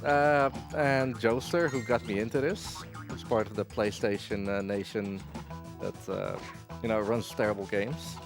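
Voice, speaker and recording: A man's voice: lowish voice, fairly even intonation, a little slow, creaky. A man giving casual live speedrun commentary at a gaming marathon as he plays. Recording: game music behind the voice.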